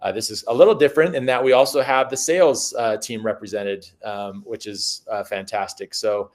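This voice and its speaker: A man hosting a business webinar, halting and full of fillers as he introduces guests. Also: lowish voice. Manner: halting, many fillers and restarts. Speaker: webinar host. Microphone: video call.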